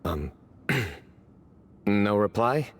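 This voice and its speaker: Very low, deadpan, composed joker. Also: female voice, drawn-out words, a little hiss or hum, heavily acted. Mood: deadpan, playful. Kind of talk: video game voice acting.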